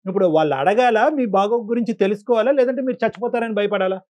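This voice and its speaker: A man's voice: high-pitched, very fast, a little mellow. A man counselling callers as a show host, earnest and admonishing, repeating points for emphasis. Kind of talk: phone-in counselling talk.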